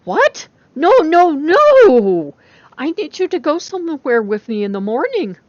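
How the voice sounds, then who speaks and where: A woman's voice: high voice, huge pitch swings, unhurried, darkish tone, no room sound, pitched above the performer's own voice, heavily acted. Woman as awkward young college girl, evasive, dry jokes, audiobook reading, slightly lo-fi. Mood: insistent. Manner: casual, hesitant.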